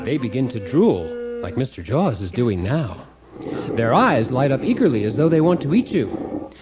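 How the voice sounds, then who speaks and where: A man's voice: mid-range voice, big pitch swings, moderate pace, natural voice. A man playing a blithely cheerful, accident-prone husband who hosts a do-it-yourself radio comedy, instructive and unruffled by disaster. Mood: blithely upbeat. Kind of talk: parody how-to radio comedy.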